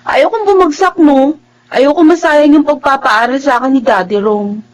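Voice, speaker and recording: A woman's voice: high-pitched, moderate pace, natural voice, low-quality recording. A woman acting an earnest, principled young student and devoted daughter in a Filipino radio drama. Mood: firm, indignant. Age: young adult.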